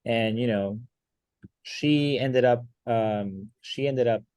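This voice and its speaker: A man's voice: mid-range voice, slow pace, clear, resonant tone, no echo. A young man from Miami, a pool player guest, talking casually and rambling with plenty of fillers. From Miami, Florida. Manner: relaxed, rambling with many fillers. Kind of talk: casual interview chat.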